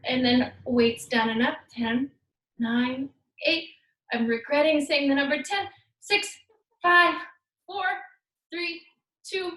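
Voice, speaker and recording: High-voiced woman leading a workout. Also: fairly bright tone. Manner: upbeat, playful cueing mixed with Spanish words. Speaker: fitness instructor. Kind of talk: guided workout cues. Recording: echoey room, voice far from mic.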